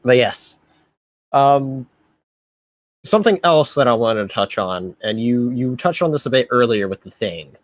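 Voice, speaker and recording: A man's voice: medium pitch, lively intonation, a little slow, dry recording. A man hosting a casual film review chat, talking in an easygoing way. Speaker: host of a film review show.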